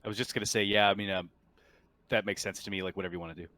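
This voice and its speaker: A man's voice: on the low side, fairly even intonation, very fast, bright, forceful tone. A man acting as game master, casually and agreeably explaining rules to players over an online voice call. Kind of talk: casual tabletop game refereeing.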